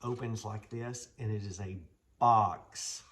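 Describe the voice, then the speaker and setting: A man's voice: lowish voice, fairly even intonation, slow pace, fairly bright tone, slight background noise. An American man hosting a casual show-and-tell vlog, talking in a folksy, enthusiastic, rambling way. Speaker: vlog host.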